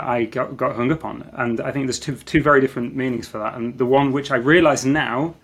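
A man speaking as a podcast guest, answering in a reflective, thinking-aloud way. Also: mid-range voice. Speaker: guest, marketing executive. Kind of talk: podcast interview.

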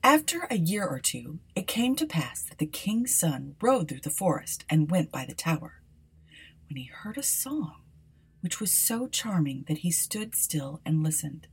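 A woman reading an old fairy tale aloud for a podcast, often dropping to a hushed whisper. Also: low voice, big pitch swings, measured pace, vocal fry, slightly rough. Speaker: podcast storyteller.

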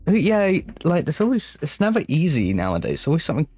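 A man's voice: fairly high pitch, huge pitch swings, quick pace, a little mellow, slightly rough, dull audio. A man co-hosting a casual podcast, rambling and hesitant, with plenty of fillers. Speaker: podcast co-host. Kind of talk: casual chat.

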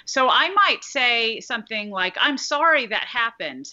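A woman's voice: on the high side, big pitch swings, measured pace, pushed and bright, muffled recording. A woman professor talking as an expert guest, explaining her points with an articulate, analytical manner and frequent "I think" hedges. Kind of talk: explanatory conversation.

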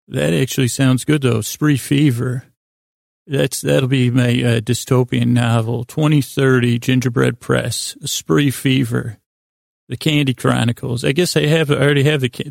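An American man hosting a sleep podcast in a meandering, halting monologue full of self-interruptions, with a whimsical, self-deprecating manner. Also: mid-range voice, gravelly, a little mellow, dry recording, studio quality. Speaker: podcast host.

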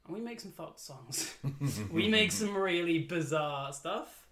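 A man's voice: on the high side, expressive, unhurried, a crowd in the background. A man hosting a casual comedy chat. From Wales. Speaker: podcast host.

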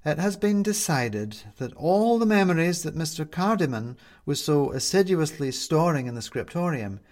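A man's voice: fairly high pitch, expressive, normal speed, a little mellow, pitched above the performer's own voice, close to an everyday voice. Man voicing a mournful, prim young ghost woman. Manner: mournful, formal, humourless.

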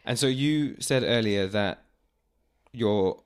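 A man hosting an interview, calm and reflective, giving short affirmations and sharing family stories. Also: mid-range voice, slow pace, smooth. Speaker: podcast host.

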